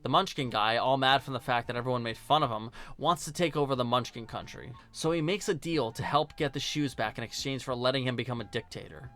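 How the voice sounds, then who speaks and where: Bright-voiced sarcastic man reviewing films. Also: medium pitch, brisk, few pauses. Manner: sarcastic, blunt, swears. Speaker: host, video essayist. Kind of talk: casual, opinionated film review narration. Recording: music behind the voice. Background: music.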